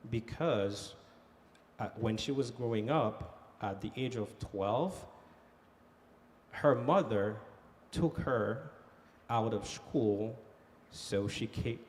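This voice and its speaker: A man from Haiti speaking on a conference panel as an economic development director, telling his story with many fillers. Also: medium pitch. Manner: animated storytelling with frequent fillers. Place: conference hall.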